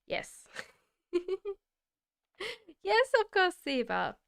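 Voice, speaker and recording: A woman's voice: extremely high pitch, very animated, extremely slow, creaky, a bit bright. A woman from Australia, with an Australian accent mixed with British, chatting through a live-stream tarot reading, reading viewer comments aloud and laughing often. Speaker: tarot reader. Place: home in the UK.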